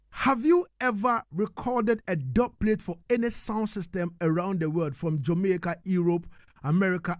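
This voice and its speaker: Animated high-voiced Ghanaian radio host, male. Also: no echo, studio quality. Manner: emphatic, halting repetitions as he builds questions. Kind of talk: live radio interview. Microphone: close studio microphone.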